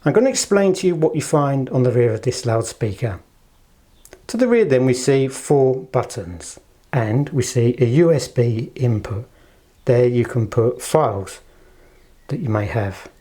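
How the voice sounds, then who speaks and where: A man with a mellow voice that is slightly husky presents a product in a casual, chatty style. His pitch moves around in a lively way at a middle height, and he stays polite throughout, speaking to a handheld camera. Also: a little slow. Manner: polite, chatty, fond of understated superlatives. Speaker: product presenter for an audio shop. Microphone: handheld camera held in one hand.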